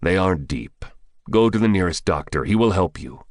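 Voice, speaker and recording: A terse male soldier, as a man voices him in an audiobook, speaking in short, clipped commands. His voice sits very low and steady. The sound is dry with no room echo. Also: moderate pace. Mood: commanding. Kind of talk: audiobook character voice.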